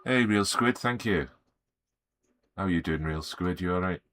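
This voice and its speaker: A middle-aged Welsh man with a slightly croaky, sore throat, chatting easily and greeting viewers by name while he draws on a live stream. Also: low voice, moderate pace, a bit bright, a little hiss or hum. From Wales. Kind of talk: relaxed live-stream chat while drawing.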